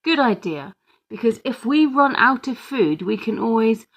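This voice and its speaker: A woman plays a jokey dad from a children's storybook. He is sarcastic and teasing, quick to protest in mock indignation. The voice has a noticeable creak and takes its time. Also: on the high side, expressive, poor audio.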